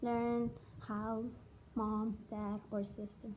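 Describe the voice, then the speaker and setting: A woman's voice: a little high, level tone, drawn-out words, a little mellow, a little hiss or hum, rough recording. Hesitant girl. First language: Spanish. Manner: hesitant, searching for words. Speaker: student interviewee. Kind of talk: school interview.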